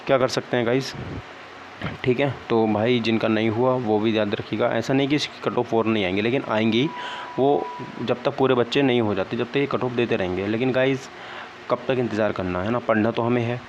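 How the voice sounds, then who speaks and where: A man's voice: mid-range voice, steady tone, a little fast. A young man talking casually to viewers as he explains things, sounding disappointed and often adding small check-in tags. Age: young adult. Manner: conversational, often checks in with "okay, right" tags. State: downcast, disappointed. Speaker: exam-prep vlogger. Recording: dull lo-fi sound, faint background noise.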